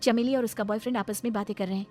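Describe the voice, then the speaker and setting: A woman's voice: fairly high pitch, fairly even intonation, very fast, warm tone, soft background music, close to an everyday voice. A woman voicing a wronged young daughter-in-law in a cartoon family drama, earnest, indignant and dignified. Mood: firm. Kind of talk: dramatised cartoon story dialogue.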